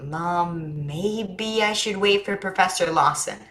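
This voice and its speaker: A woman's voice: lowish voice, slow, a bit bright. A woman playing a curious, earnest young boy in a staged drama reading, with an informal, questioning way of talking. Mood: puzzled.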